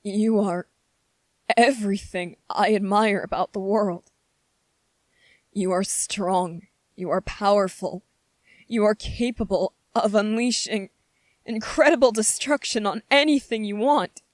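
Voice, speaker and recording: Woman as a slow-spoken, gentle young prince. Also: medium pitch, no room sound, lightly acted. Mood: tender, devoted. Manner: hesitant, halting, earnest and pleading. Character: gentle, anxious young prince, earnest and devoted. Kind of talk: ASMR roleplay, scripted character acting.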